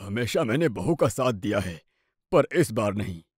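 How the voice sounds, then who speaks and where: A man's voice: on the low side, animated, normal speed, darkish tone, breathy edge, dry recording, studio quality. A man playing a stern, sensible older father-in-law who admonishes his family in a cartoon moral story. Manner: admonishing, lecturing.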